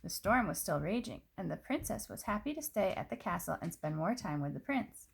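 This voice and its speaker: Female children's storyteller: expressive read-aloud, very lively pitch, touches of vocal fry. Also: fairly quick. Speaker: storyteller reading a picture book.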